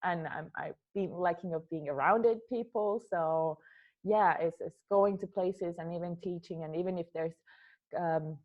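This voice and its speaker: A woman's voice: lowish voice, moderate pace, narrow, tinny audio. A young woman from Finland with a Finnish accent, answering interview questions about her dance work, often repeating words as she searches for them. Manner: hesitant, repeats words while thinking. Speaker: dance artist.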